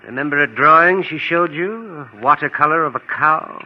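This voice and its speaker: An actor playing a shrewd, fussy attorney in vintage radio suspense, formal and measured as he probes and quietly corners a suspect. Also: on the high side, lightly acted. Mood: quietly accusing. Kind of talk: 1940s radio suspense acting. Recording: dull, noisy old radio recording.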